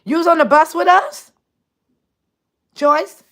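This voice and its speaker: A woman's voice: very high voice, fairly even intonation, slow, a bit bright. Older woman host, 60s, casual livestream banter, frequent laughter, talks straight to her audience. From Chicago area. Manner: laughing often, chatty, talking directly to viewers by name. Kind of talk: casual livestream chat reading viewer comments.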